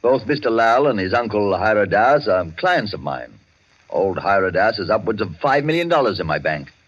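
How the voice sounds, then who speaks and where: An actor playing a chatty, stuffy colonial banker in a 1940s radio drama, exclamatory and clubby in manner. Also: male voice, fairly low pitch, big pitch swings, moderate pace. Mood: insistent, fretful. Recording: muffled vintage 1940s radio broadcast.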